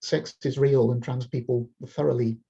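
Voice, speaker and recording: A measured interviewer with a gravelly, husky voice and a dark tone. The sound comes through dull and lo-fi, as if from a remote link. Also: medium pitch, steady tone. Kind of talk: interview questions.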